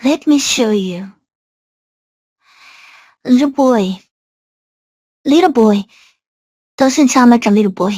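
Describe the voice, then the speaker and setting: A man's voice: very high voice, expressive, slow, pauses often, a little mellow, dry recording, studio quality, pitched above the performer's own voice, exaggerated voice. A man speaking through an AI voice changer as a girlish young voice. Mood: critical. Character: voice-changer male voice that comes out girlish.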